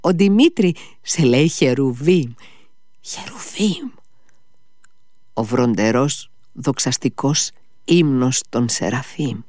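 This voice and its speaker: A man voices a feverish, agitated brother who rambles on in a strained, rising voice that slips into high whispers. The sound is dry and close, with no room around it. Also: long pauses, fairly bright tone, husky, no echo.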